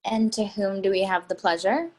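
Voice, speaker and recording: A woman plays a middle-aged mother in a stage play reading. Her voice sits at an ordinary pitch, and she is polite, formal and inquisitive. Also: normal speed, a little mellow, lightly acted. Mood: guarded, polite. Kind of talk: readers theatre play reading.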